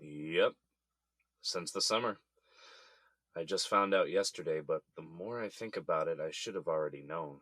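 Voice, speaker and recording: A man's voice: deep voice, normal speed, pauses often, fairly bright tone, no room sound. An actor playing a weary, blunt middle-aged businessman held in jail, in a naturalistic audio drama. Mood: bitter, blunt. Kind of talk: naturalistic scripted drama dialogue.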